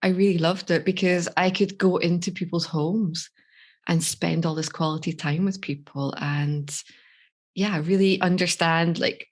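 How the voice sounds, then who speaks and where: A Scottish woman with a notably low voice speaks openly and reflectively as a podcast guest. She is middle-aged and shares her story at a relaxed conversational pace, with an easy laugh. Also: a little slow. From Scotland. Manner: reflective, candid, laughs easily. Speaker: life coach guest. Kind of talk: podcast interview, personal story.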